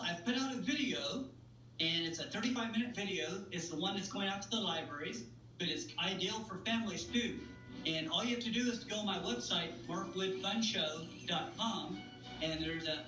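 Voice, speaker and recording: Bright, high-voiced man with playful patter. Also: normal speed, faint music behind. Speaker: magician, interview guest. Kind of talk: chatty magic demonstration and interview. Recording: roomy, distant mic, faint noise and hum.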